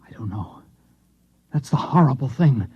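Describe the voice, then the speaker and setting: A haunted Martian man in an old radio drama. He speaks haltingly and earnestly in a dark, husky voice. The recording is dull and aged. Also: mid-range voice, very animated. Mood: haunted, distressed. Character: dismal, shaken Martian man, last of his kind.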